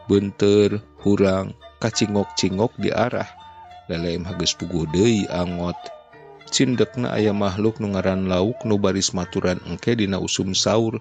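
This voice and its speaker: Low, gravelly male storyteller over music. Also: darkish tone. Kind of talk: reading a classic folk tale aloud. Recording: music playing underneath.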